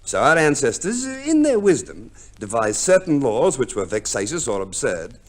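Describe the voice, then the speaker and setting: An actor playing a fussy, pompous divorce lawyer, formal and precise, in 1950s radio drama style. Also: male voice, medium pitch, wildly swooping pitch, moderate pace. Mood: expansive, reasoning. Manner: formal, precise, unctuous, hesitant hums. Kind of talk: 1950s radio play acting.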